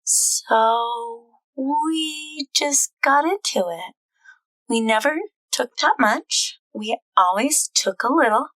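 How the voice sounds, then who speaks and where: A woman acts out an awkward, earnest young librarian woman from a comic book. Her voice is high and bright, and she takes her time, halting between phrases. The sound is dry and close, with faint music. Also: dry recording, rough recording. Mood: confessional, uneasy.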